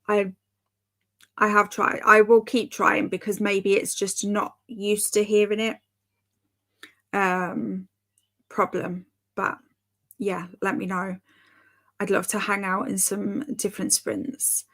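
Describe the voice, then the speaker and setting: A woman's voice: mid-range voice, a bit bright. A woman hosting a livestream, chatting casually and reading out comments, rambling with fillers, self-corrections and light laughs. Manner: laughs lightly between remarks. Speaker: livestream host of reading sprints; mother.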